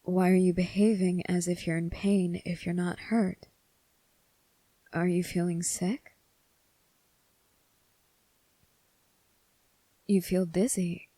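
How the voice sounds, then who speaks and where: Kind young male cadet female performer, ASMR roleplay. Slightly low, dark soft tone, unhurried, polite, hesitant, reassuring. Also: steady tone, no room sound. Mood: concerned, insistent. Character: kind, earnest teenage boy military cadet, soft-spoken and caring.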